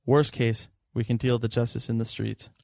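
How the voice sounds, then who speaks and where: A man's voice: mid-range voice, level tone, normal speed, gravelly, darkish tone, no room sound, studio quality, natural voice. A man playing a restless, dogged police detective in a fan-made sci-fi noir audio drama. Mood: defiant.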